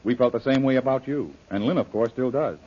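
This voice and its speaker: An actor playing a brave, modest adventurer in an old radio serial, laconic and husky. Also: male voice, medium pitch, brisk, close to an everyday voice. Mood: steady, reassuring. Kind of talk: 1930s radio serial acting. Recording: dull, lo-fi old radio recording.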